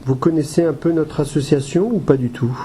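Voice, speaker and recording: A man playing a patient, formal and polite association adviser with a soft, slightly husky voice in an amateur audio drama. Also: on the high side, fairly even intonation, brisk, a little hiss or hum, natural voice. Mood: courteous, informative. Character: courteous, patient male guide-dog association adviser.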